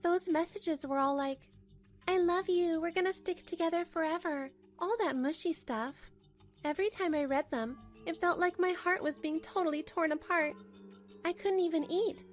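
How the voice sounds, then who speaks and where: Wronged wife, firm and pragmatic, played by a woman with a high voice, expressive manga-dub narration over faint music. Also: normal speed. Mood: hurt, weary. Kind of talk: dramatic first-person narration for a manga dub.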